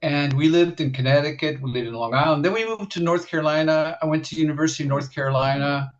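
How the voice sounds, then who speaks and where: A man's voice: on the high side, quick pace, highs cut off. A man in his sixties from the Netherlands, telling his life story as a musician guest in a casual interview, with many restarts and fillers.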